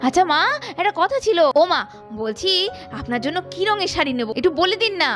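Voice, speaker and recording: A woman's voice: extremely high pitch, sing-song, fairly quick, fairly bright tone, exaggerated voice. A woman voicing a chatty, playful young doll-family woman in a cartoon, exclamatory, over music. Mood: coaxing. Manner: gushing, teasing, exclamatory. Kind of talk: doll cartoon story voice acting. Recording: faint music behind the voice.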